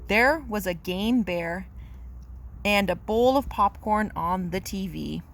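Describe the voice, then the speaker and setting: A woman's voice: mid-range voice, takes it slowly. A woman reading a children's picture book aloud in a simple, teacherly, explanatory manner. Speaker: read-aloud storyteller.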